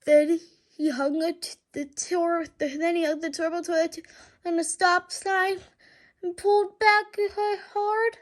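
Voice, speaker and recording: Female storybook reader, very high voice, unhurried pace, occasional stumbles and rereads. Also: fairly even intonation. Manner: stumbles over words and rereads. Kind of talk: children's book read aloud.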